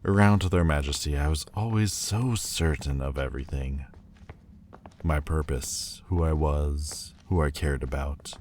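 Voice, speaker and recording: Man playing a very deep, haughty fallen demon lord. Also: a little mellow, slight background noise. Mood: wistful, self-mocking. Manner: haughty, theatrical, hesitant pauses. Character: proud, wounded male demon lord turned mortal. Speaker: audio roleplay voice actor. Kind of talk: dramatic ASMR roleplay monologue.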